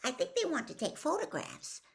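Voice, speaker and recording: A squeaky little schoolboy. He is eager and nervous, starstruck, with a high, piping voice that jumps around. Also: huge pitch swings, normal speed, creaky, full character voice. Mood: nervous, pleading.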